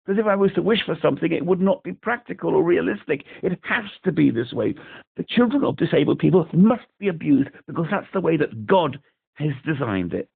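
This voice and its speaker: Male British caller on a phone line, very gravelly and husky, agitated and rambling. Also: on the high side, animated, a little fast. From Britain. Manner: rambling, agitated, repeats himself. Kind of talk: phone call to a helpline. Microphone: telephone.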